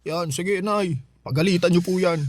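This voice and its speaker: A man's voice: high-pitched, steady tone, normal speed, no echo, studio quality. A man acting as a sulky, tattling grown son with a Filipino accent who hides behind his mother in a radio drama. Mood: petulant, goading. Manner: petulant, goading.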